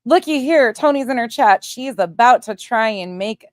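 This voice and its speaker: A woman's voice: on the high side, lively intonation, moderate pace, no room sound. A woman giving casual, sarcastic livestream commentary, blunt and mocking, with some swearing. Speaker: livestream host reacting to another creator's stream.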